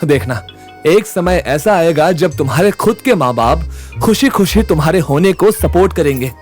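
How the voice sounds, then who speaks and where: A man's voice: fairly high pitch, expressive, normal speed, darkish tone, soft background music, lightly acted. An actor playing a brash, confident young man who takes charge in an audio drama, with a blunt, swaggering manner. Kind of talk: Hindi audio drama acting.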